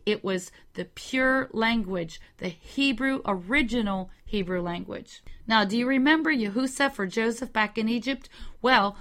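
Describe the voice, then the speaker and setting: A woman giving a slow, measured religious teaching, carefully spelling out the meanings of words. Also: on the high side, fairly bright tone. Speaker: Bible teacher.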